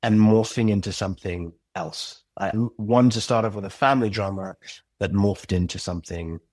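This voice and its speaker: A middle-aged man speaking as a podcast guest, talking reflectively with pauses and restarted phrases. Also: deep voice, a little mellow, husky, no echo. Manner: thoughtful, pausing, restarts phrases. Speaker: podcast guest, writer and director. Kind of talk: reflective interview talk.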